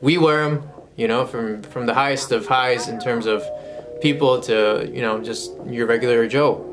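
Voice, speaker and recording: A man's voice: mid-range voice, moderate pace, rough recording. Laid-back young man vlogging over music. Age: 20s. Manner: laid-back, slangy, addresses viewers as guys. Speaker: sneaker review host. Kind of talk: casual product review vlog to camera. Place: small furnished room at home, indoors. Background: background music with guitar.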